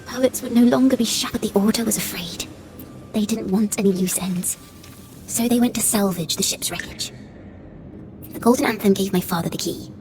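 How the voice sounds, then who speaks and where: A woman's voice: fairly high pitch, noisy background, close to an everyday voice. An actress playing an earnest young songwriter in a video game, confiding her family's secret in scripted monologue.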